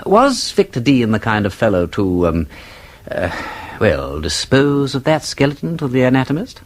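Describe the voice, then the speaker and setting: Male actor as shrewd gentleman sleuth, very animated intonation, mid-pitched, urbane, feigned casualness, radio mystery. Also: moderate pace, natural voice. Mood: discreetly inquiring. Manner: urbane, probing, drily polite, feigning casualness. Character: shrewd, suave gentleman detective posing as an office copywriter. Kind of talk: radio drama acting, detective mystery.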